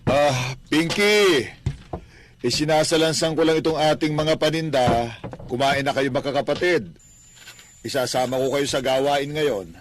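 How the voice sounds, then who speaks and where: A man's voice: fairly high pitch, measured pace, close to an everyday voice. An actor playing a devout, kindly Filipino father who runs a small store, earnest and fatherly, in a radio drama. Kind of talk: radio drama acting in Tagalog. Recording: noisy radio broadcast playback.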